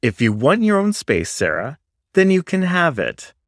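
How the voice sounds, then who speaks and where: A man's voice: fairly high pitch, wildly swooping pitch, normal speed, no room sound, clean studio sound, voice pushed up. A man voicing an argumentative, self-righteous male student in a story, emphatic and dismissive. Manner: emphatic, griping, insistent.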